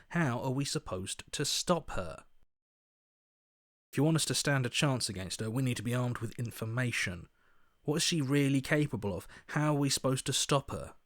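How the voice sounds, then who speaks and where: An actor playing an earnest, principled hero in a video game, with a sceptical, pressing manner. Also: male voice, medium pitch, no echo, clean studio sound, lightly acted. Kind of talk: video game character voice acting.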